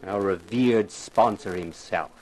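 A man's voice: deep voice, slow, a little mellow, rough recording. A man acting as a sardonic scriptwriter in a 1950s radio drama, with a dry, mocking and cagey way of talking.

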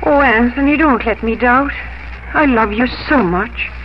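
High-voiced earnest young woman played by an actress. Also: a little slow, background noise, natural voice. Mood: wistful, hopeful. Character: earnest, devoted young farm woman in love. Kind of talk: 1950s radio drama acting. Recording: muffled old radio broadcast, music underneath.

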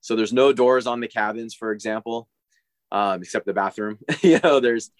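Brief: forties man, low voice, dry close sound, easygoing rambling interview guest. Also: no echo. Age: 40s. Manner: rambling, halting, many fillers and restarts. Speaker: podcast guest, diver living on a boat. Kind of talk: casual interview chat.

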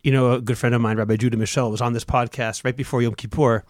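A man's voice: mid-range voice, flat delivery, fast talker, dry recording, clean studio sound. A man hosting a podcast, asking questions and reading the closing remarks fluently. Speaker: podcast host. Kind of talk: podcast interview.

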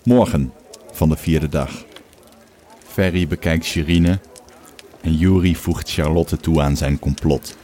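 Very deep, dark-voiced male narrator. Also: slow, long pauses. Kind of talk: scripted audio drama narration.

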